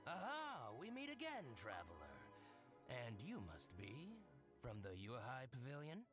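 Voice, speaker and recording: An actor playing a sly, fawning merchant in a video game, with a theatrical, sing-song delivery. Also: male voice, mid-range voice, wildly swooping pitch, unhurried, music in the background. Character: fawning, sly middle-aged male merchant.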